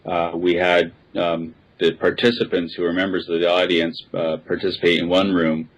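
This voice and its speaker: A man's voice: sits low, little pitch movement, normal speed, lo-fi sound. A man speaking as a podcast guest, casually introducing himself and recalling things. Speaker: podcast guest, space society board member. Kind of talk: casual recollection.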